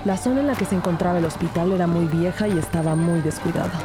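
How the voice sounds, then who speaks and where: Earnest young woman detective played by an actress, slightly low voice, Latin American Spanish accent, crime audio drama, clear music bed. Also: quick pace, lightly acted. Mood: grave narration. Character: young female trainee detective narrating her case, earnest and eager. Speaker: narrator and lead actor. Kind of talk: scripted crime audio drama narration and dialogue.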